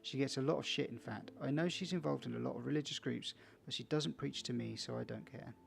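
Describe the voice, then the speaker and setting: A man's voice: mid-range voice, fast talker, creaky, soft background music. A man playing a video game for viewers, casually reading its text aloud with small stumbles and chatty asides. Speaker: video game let's player.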